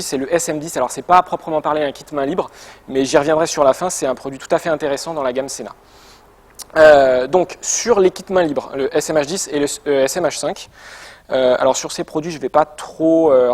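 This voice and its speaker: Male presenter, medium pitch. Informal demo delivery, explanatory and conversational, slightly hesitant, unscripted feel. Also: a little mellow. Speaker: product presenter for a motorcycle gear shop. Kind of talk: informal product demonstration, semi-improvised.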